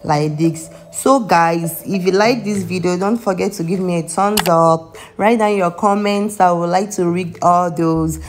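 A woman's voice: fairly low pitch, unhurried, a little hiss or hum. A young Nigerian woman with a Nigerian accent, hosting a home cooking channel and walking viewers through a recipe step by step in a friendly way. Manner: friendly step-by-step instruction with some non-standard phrasing. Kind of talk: voice-over cooking tutorial. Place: home kitchen. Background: soft background music.

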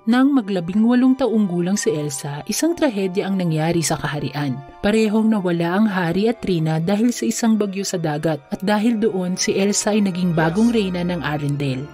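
A woman's voice: medium pitch, expressive, fairly quick, mellow. A woman reading scripted fairy tale narration for children. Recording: music under the voice. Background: background music.

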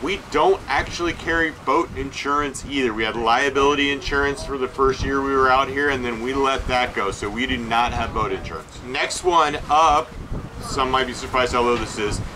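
A man's voice: medium pitch, moderate pace, noisy background. Matter-of-fact man listing boat expenses. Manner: matter-of-fact, listing figures with many uh fillers. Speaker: sailing vlog co-host. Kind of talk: casual expense breakdown. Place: aboard a sailboat.

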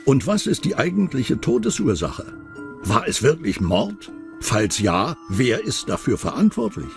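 A man's voice: medium pitch, huge pitch swings, measured pace, vocal fry. A man narrating a true-crime documentary in a dramatic, suspenseful scripted style. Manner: suspenseful, rhetorical questioning. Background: background music.

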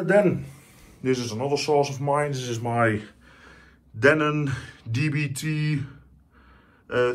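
A man from the Netherlands with a Dutch accent, casually showing his home stereo system, talking with enthusiasm and many fillers and restarts. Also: medium pitch. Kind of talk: casual home tour vlog. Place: living room at home.

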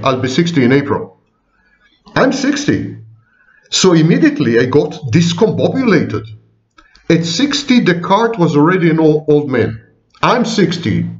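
A man of sixty, a psychology professor, giving a wry, digressive informal lecture to viewers, often repeating and correcting himself. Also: on the high side. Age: 60s. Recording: echoey room, dull lo-fi sound.

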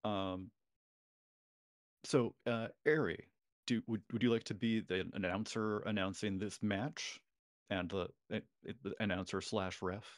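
A man's voice: lowish voice, steady tone, measured pace, dry recording, studio quality. Hesitant man running a tabletop game. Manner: hesitant, many fillers and restarts. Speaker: game master.

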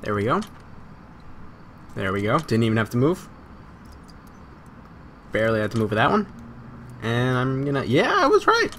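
A man's voice: mid-range voice, huge pitch swings, moderate pace, long pauses, fairly bright tone, slight background noise. A man giving casual gameplay commentary, muttering to himself, repeating phrases and swearing. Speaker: gamer recording a let's play.

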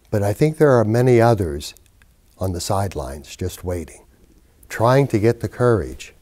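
An older bishop gives a documentary interview in a low, mellow voice. He talks in a measured, reflective way, recorded close in an indoor office.

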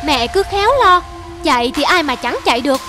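A woman voicing a playful, careless young leopard cub in a children's cartoon, childlike and expressive. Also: high voice, fairly quick, over music. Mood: carefree, boastful.

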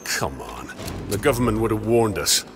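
Low, gruff space marine. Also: male voice, very animated, moderate pace, music in the background. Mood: wry, resolute. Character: gruff, sardonic male marine in combat suit. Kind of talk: video game voice acting.

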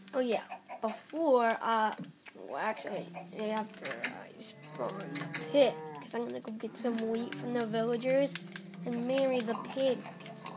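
A young female player rambling through casual Minecraft commentary, squealing at small wins, recorded on a phone aimed at a TV. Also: on the high side. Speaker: Minecraft player filming her screen. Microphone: phone camera. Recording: phone camera aimed at a TV, music in background.

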